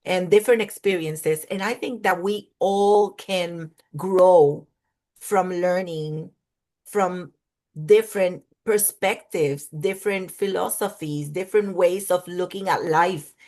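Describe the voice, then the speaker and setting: A woman's voice: lowish voice, dark tone. A woman whose first language is Spanish, a clinical psychologist being interviewed on a video call, speaking in careful, list-like phrasing. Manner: slow, thoughtful, list-like phrasing. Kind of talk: interview conversation.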